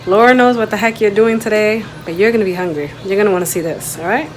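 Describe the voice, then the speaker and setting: A woman's voice: medium pitch, quick pace. A woman chatting informally and directly to her viewers as she films a home cooking video. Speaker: home cook vlogger. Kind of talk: casual chat to viewers while cooking. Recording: clearly noisy home video recording.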